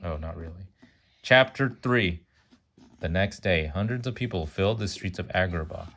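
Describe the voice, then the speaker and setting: A man's voice: deep voice, moderate pace, fairly bright tone. A man reading a children's storybook aloud to a child, stumbling over words now and then, correcting himself and adding chatty asides.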